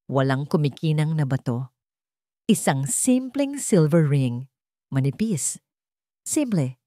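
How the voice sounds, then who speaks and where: Low-voiced woman narrating romance. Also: sing-song, slow, mellow, no echo, clean studio sound. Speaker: storyteller. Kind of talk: scripted dramatic romance narration.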